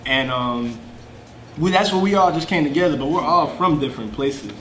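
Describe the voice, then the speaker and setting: Young rapper, animated storyteller, echoey room, crowd chatter behind. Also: male voice, fairly high pitch, normal speed. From Chicago. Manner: animated storyteller, many restarts. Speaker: rapper in a group. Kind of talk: casual group interview.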